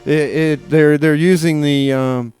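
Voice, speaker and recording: A man hosting a community radio show, chatting loosely and haltingly between songs from a studio mic. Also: on the high side, steady tone, a little mellow, clean tone. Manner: halting, full of uh and repeated starts. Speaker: radio DJ and host. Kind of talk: rambling casual radio chat.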